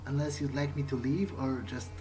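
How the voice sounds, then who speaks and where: A man playing a hesitant, protective vampire in an improvised video game roleplay, speaking in a halting, understated way. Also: medium pitch, steady tone, music in the background, lightly acted. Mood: earnest, hesitant. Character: hesitant, awkward, protective male vampire, musing aloud. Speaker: gamer playing in character. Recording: noisy recording with game ambience.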